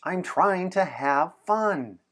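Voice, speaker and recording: A man's voice: high voice, lively intonation, takes it slowly, a bit bright, pitched above the performer's own voice, heavily acted. A man voicing a serious, bookish little boy from a picture book, with a formal, precise and exasperated manner. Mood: frustrated, urgent.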